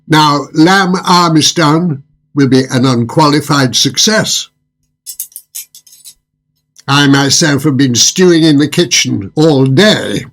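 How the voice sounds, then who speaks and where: An actor playing a sinuous, overly courteous and sinister restaurant owner with a smooth purring voice in a radio horror drama. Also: male voice, on the high side, a bit bright, heavily acted. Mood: unctuous. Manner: effusive, elaborately courteous.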